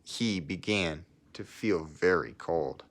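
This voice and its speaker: Male storybook reader for young children, lower-pitched, expressive read-aloud delivery. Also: slow. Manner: expressive, with asides to listeners.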